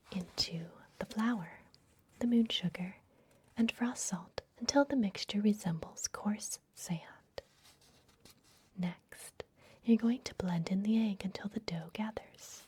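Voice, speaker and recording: A woman whispering gently and unhurriedly in a breathy voice, playing a kindly vampire librarian in an ASMR fantasy roleplay. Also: medium pitch, slight background noise. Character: kindly vampire librarian hosting a human guest. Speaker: ASMR performer.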